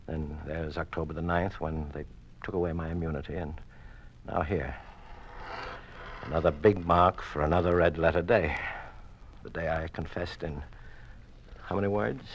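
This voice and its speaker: An actor playing a weary, wry, defiant imprisoned senator in an old-time radio drama, speaking deliberately and with irony. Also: male voice, deep voice, fairly even intonation, a little hiss or hum. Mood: wry bitterness. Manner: deliberate, hesitant, ironic. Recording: muffled old radio recording.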